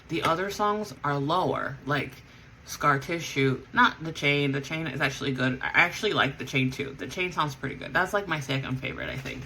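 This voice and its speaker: A woman's voice: low-pitched, fairly bright tone, a little hiss or hum, low-quality recording. A woman who sings in a band, chatting casually as she gets ready, rambling with lots of fillers and self-corrections. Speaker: vlogger and band singer. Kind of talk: casual chat while getting ready.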